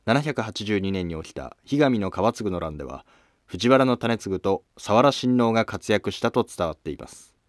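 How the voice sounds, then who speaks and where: A male narrator delivers scripted history in a formal storytelling role. His voice sits fairly low, and the recording is dry. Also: animated, moderate pace, dry recording.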